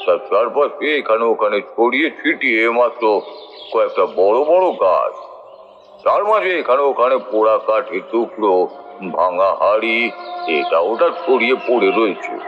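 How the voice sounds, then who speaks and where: A man's voice: lowish voice, expressive, measured pace, dull audio. A man narrating a suspense tale in the first person, in a dramatic scripted style.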